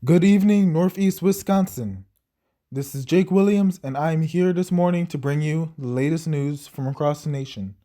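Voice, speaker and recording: A man's voice: on the high side, normal speed, warm tone, close to an everyday voice. A man playing a local TV news anchor in an audio drama, with a formal announcer delivery. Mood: bright greeting. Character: local TV news anchor, male, composed then shaken.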